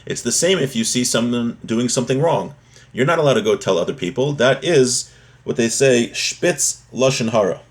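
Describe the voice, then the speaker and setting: A man's voice: mid-range voice, normal speed. A man giving an explanatory religious lesson, reading from a text and explaining it, repeating and rephrasing key points in a didactic way. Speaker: religious teacher.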